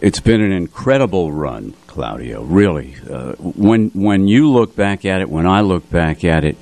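Low-voiced man co-hosting on radio. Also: expressive, unhurried, creaky, a little mellow, no room sound. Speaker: radio announcer and co-host. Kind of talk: casual radio chat and show introduction. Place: community radio studio. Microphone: studio radio microphone.

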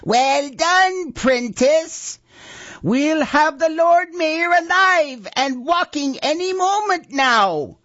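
A man voicing a cunning, gloating old female witch with a shrill voice, on a dull lo-fi recording. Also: lively intonation, takes it slowly, pushed and bright, no room sound, exaggerated voice.

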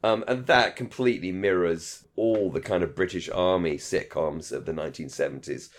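A man's voice: low voice, moderate pace. A middle-aged man co-hosting a casual discussion podcast, thinking aloud in a rambling, hesitant way with many false starts. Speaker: podcast co-host. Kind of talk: casual discussion of a radio drama.

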